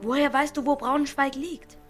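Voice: sits high, fairly even intonation, moderate pace, a bit bright. An actor in a children's radio play voicing a tough street kid, matter-of-fact, wry and teasing. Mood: wary. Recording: studio radio play with faint music.

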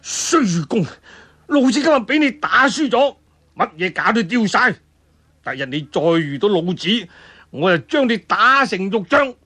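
Man putting on a blustering, high-pitched Cantonese brawler. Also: very animated, unhurried, bright, forceful tone, full character voice. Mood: furious, threatening. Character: gruff, blustering male brawler, sore loser uttering threats.

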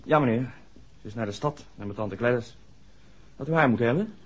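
Actor as guarded, slightly husky young garage mechanic. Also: male voice, medium pitch, brisk, natural voice. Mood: deferential. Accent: Netherlands Dutch. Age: young adult. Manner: deferential, reticent. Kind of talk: 1960s Dutch radio drama acting. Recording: muffled old radio recording.

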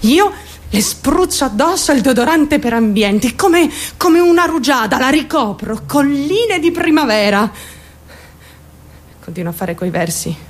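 Troubled, volatile young woman, high-pitched. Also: sing-song, a little slow. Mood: dazed, unsettled. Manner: intense, swinging between outcry and hushed narration. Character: troubled young woman recounting her traumatic story, raw and volatile. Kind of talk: dramatic radio monologue in Italian.

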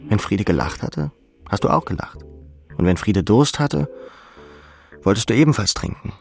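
A restless, grieving young clubber in a literary audiobook. The voice is deep and creaky, with music behind it. He addresses himself in dramatic, clipped, self-lacerating phrases. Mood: brooding, grieving. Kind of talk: literary second-person monologue.

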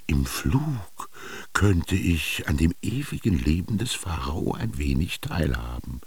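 Deep, gravelly, brooding ancient Egyptian. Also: male voice, very animated, no echo, exaggerated voice. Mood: musing. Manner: lyrical, brooding, with rhetorical questions and lingering pauses. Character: Amenhotep, melancholy, yearning ancient Egyptian man recalling his youth. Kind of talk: literary radio drama monologue.

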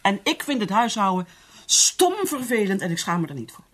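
An actress playing a bitter, driven middle-aged career woman who drinks, in a radio play, with a breathy, halting, brooding delivery. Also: mid-range voice, sing-song, fairly bright tone. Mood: proud, defiant.

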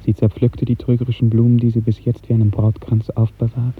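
A man narrating a literary radio drama in an earnest, lyrical, carefully enunciated way, with a soft, husky voice. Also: medium pitch, monotone, a little fast. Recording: muffled narrow-band 1950s radio recording.

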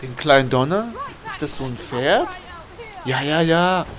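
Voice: mid-range voice, expressive, unhurried. An amateur performer playing a friendly, chatty pool attendant in a children's radio play. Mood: helpful. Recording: distant voice amid loud crowd noise. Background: loud swimming pool crowd.

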